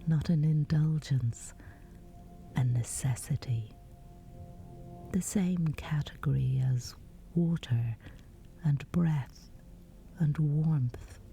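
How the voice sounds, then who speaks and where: A woman guiding a sleep meditation in a breathy, whispery voice, soothing and measured with long pauses. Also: low voice, dark tone, soft background music. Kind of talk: guided sleep meditation, scripted.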